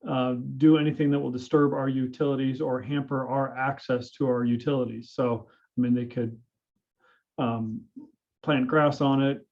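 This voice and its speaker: A man, a public works director, presenting a report at a city council meeting in a measured way, pausing often with "uh" and "um". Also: mid-range voice, little pitch movement, dull audio. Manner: measured, hesitant with frequent fillers.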